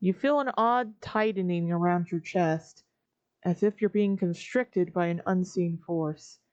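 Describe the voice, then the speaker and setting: A woman giving scripted second-person narration of a horror story, measured and theatrical. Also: on the low side, darkish tone, no echo. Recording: dull recording with background music.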